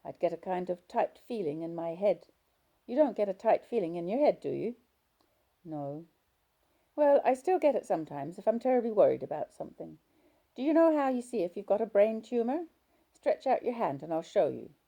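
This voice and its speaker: A woman voicing a chatty, gossipy nurse who talks briskly. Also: medium pitch, very animated, mellow, pitched above the performer's own voice. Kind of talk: audiobook character voice.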